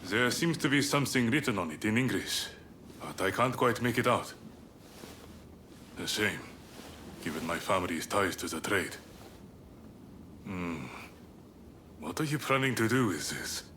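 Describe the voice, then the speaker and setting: A man voicing a steady, sober samurai comrade with restrained acting in a video game cutscene. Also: lowish voice, a little slow, long pauses, a little hiss or hum. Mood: somber.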